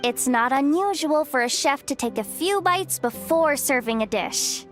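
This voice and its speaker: Chatty young heroine for a game, high and lightly wry, music underneath. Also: female voice, expressive, a little slow. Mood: casual, wry. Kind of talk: video game voice acting.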